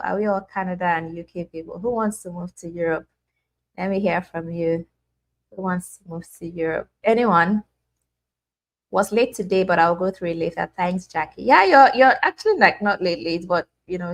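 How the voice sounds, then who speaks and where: A young woman hosting a casual live stream, chatting and reading out viewers' names with many restarts and fillers. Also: on the low side. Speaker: livestream host.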